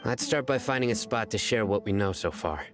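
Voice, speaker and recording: An actor playing a stern, reserved young former general in a video game, speaking in a measured, formal and serious way. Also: male voice, on the low side, expressive, music in the background, natural voice. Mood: composed. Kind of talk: scripted video game voice acting.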